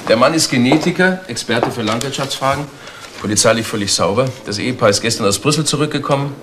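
A man's voice: medium pitch, fast, lightly acted. A man acting a matter-of-fact police detective in a German crime radio drama, speaking tersely and in a businesslike way. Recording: noisy retro radio play recording.

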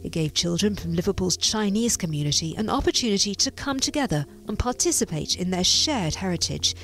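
A woman narrating a documentary voice-over in a measured way. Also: mid-range voice, animated.

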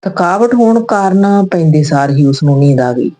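A woman's voice: on the low side, lively intonation, normal speed, dark tone. A woman narrating an emotional moral story from a script, as a storyteller.

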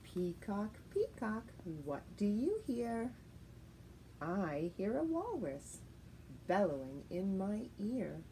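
Middle-aged woman, children's read-aloud, unhurried pace, soft mellow tone, sing-song teacher delivery. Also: medium pitch. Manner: deliberate, sing-song, expressive reading with clear enunciation. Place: living room at home, indoors. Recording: faint steady noise, home room recording.

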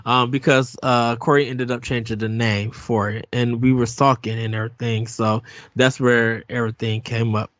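A man's voice: mid-range voice, flat delivery, normal speed, a bit bright, no room sound. A man in his forties hosting a casual podcast interview, rambling and correcting himself with many ums. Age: 40s. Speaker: podcast host. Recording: dull lo-fi dry audio.

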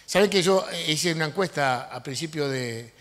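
A man's voice: a little high, normal speed. Man lecturing in a reverberant hall. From Uruguay. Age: middle-aged. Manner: animated, gestures while speaking, frequent fillers and restarts. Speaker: lecturer and politician. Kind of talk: conference lecture. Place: large university auditorium with audience. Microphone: handheld microphone.

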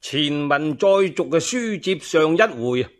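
A male storyteller narrates in Cantonese in the manner of old radio serials. His voice sits a little high and he takes his time. The delivery is colloquial and expressive, turning aside to address the listener. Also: slow. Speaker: solo storyteller. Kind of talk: traditional Chinese radio storytelling, dramatized narration.

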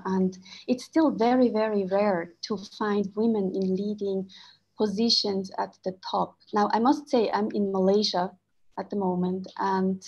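Husky woman on a flat, dull video call. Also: mid-range voice. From Switzerland. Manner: earnest, measured, with frequent um and uh. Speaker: panelist. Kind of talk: panel discussion remarks.

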